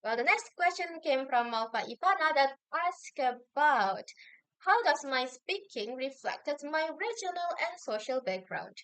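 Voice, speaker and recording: A young woman, a university student explaining answers in a measured way with occasional hesitant restarts. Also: high-pitched, expressive, fairly bright tone, dry recording, poor audio. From Indonesia. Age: young adult. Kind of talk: answering assignment questions on video.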